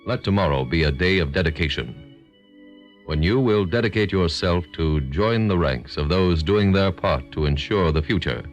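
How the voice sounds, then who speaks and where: A man's voice: low-pitched, expressive, normal speed, soft background music. A man delivering a grave, formal, exhortatory scripted appeal as the narrator of a radio drama.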